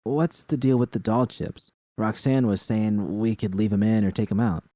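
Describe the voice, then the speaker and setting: Man playing a bold young woman, blunt, casual, raised pitch, dry recording, audio story character. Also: fairly even intonation, normal speed, warm tone, dry recording, lightly acted. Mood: casual, curious. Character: frank, bold young woman, blonde adult performer.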